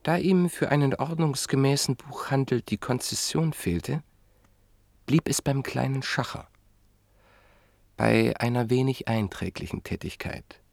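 A man narrating a classic literary radio play in a measured, reflective way that sometimes sinks to a near whisper. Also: medium pitch, creaky, a little mellow, no echo.

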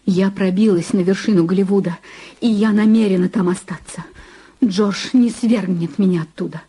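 An actress playing a defiant, hardened Hollywood starlet with an intense, theatrical manner in an audio drama. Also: female voice, mid-range voice, steady tone, moderate pace, mellow, breathy edge, close to an everyday voice. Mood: bitter, defiant. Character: defiant Hollywood starlet, hardened killer hiding her nerves. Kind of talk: dramatic audio play acting.

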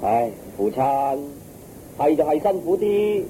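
An actor playing an earnest, dutiful young farmer son in a radio drama, speaking respectfully with a Hong Kong Cantonese accent. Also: male voice, a little high, very animated, slow pace, dark tone. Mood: hopeful, placating. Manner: eager, respectful, placating. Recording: muffled radio recording, faint noise.

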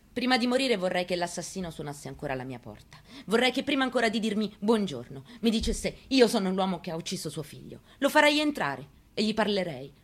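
A woman performing a dramatic monologue as a grieving, resolute elderly mother, in a deliberate, intimate manner. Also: fairly low pitch. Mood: aching, insistent. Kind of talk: dramatic monologue, theatrical reading.

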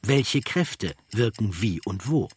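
Male documentary narrator, low register, husky grain, measured factual delivery, close dry sound. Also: very animated, a little mellow, no echo. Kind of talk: scripted documentary audiobook narration.

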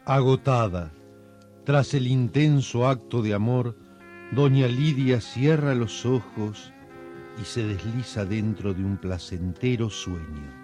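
A wry, solemn storyteller played by a man narrates a radio melodrama. His voice sits in a middle register, measured, with faint music behind it. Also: mid-range voice, darkish tone, close to an everyday voice. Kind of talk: scripted radio drama narration.

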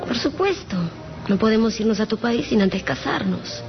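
A woman playing a devoted, stubborn eleven-year-old girl in a radio drama, with a creaky voice. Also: fairly high pitch, lively intonation, normal speed, lo-fi sound, music in the background. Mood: hopeful, insistent. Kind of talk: theatrical radio drama acting.

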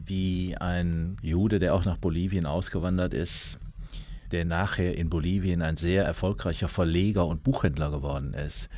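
Male interviewee, deep register, engaged explanatory delivery, documentary storytelling. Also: steady tone, normal speed, a little mellow, no room sound.

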